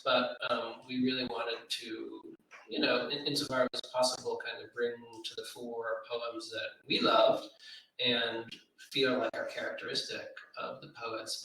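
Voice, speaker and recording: A man explaining informally as an editor at a literary event, halting and correcting himself as he goes. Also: mid-range voice, fairly bright tone, a little hiss or hum. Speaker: anthology editor and panel speaker. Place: reverberant library room, live event. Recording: very echoey room, distant mic.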